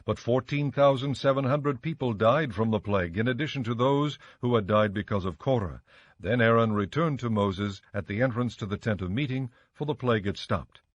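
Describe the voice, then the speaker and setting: A man narrating a dramatized Bible audiobook in a measured, solemn storytelling style. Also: medium pitch, no echo, dull audio. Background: faint music.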